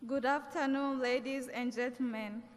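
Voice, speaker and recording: A woman's voice: high-pitched, fairly even intonation, normal speed. A young woman from Congo with a Congolese accent, a native Swahili and Kinyarwanda speaker, giving a careful, formal graduation speech as a student. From Democratic Republic of the Congo. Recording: hall reverb.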